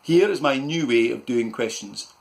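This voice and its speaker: Man doing a loud, pompous bumbling uncle. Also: mid-range voice, lively intonation, moderate pace, gravelly. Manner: loud, barking, self-important. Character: blustering pompous middle-aged uncle.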